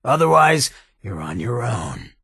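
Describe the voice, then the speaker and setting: An actor voicing a sly, swaggering outlaw mayor in a video game, with a gravelly rasp and cocky, streetwise, slangy drawl. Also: male voice, mid-range voice, sing-song, a little slow, bright, forceful tone, dry recording, clean studio sound, exaggerated voice. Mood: wry, laid-back. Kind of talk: video game companion dialogue.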